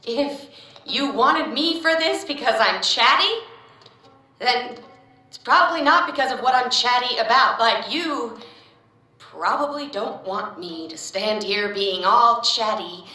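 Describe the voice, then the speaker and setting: A woman's voice: a little high, a little slow, pushed and bright. A woman playing a captive test subject in a sci-fi audio drama. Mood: nervous, rambling. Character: female captive test subject in a glass cube. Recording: very echoey, distant from the mic.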